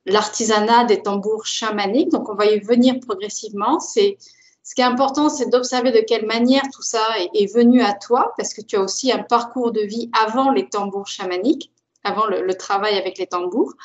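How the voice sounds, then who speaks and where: A woman host asking soft, encouraging interview questions and praising her guest's answers effusively. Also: a little high. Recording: dull lo-fi sound.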